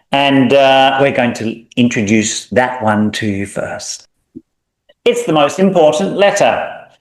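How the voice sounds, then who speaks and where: Unhurried Australian man teaching. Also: mid-range voice. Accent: Australian, mild. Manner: relaxed and explanatory, drawing out example sounds with small hesitations. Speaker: Thai language teacher. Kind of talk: recorded language lesson. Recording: echoey room.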